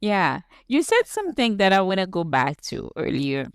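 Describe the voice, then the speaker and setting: A woman from Haiti with a Haitian accent, hosting a casual conversation as a soft-spoken, affirming listener. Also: medium pitch, huge pitch swings, moderate pace, smooth, no room sound, clean studio sound. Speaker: podcast host.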